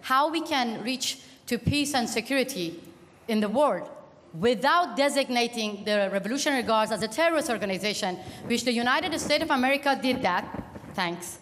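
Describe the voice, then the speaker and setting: A woman from Iran asking a loud, direct and impassioned question at a conference panel. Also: on the high side, animated, normal speed. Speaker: audience member asking a question. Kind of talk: forceful public question at a panel. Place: large conference hall. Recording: long hall reverb.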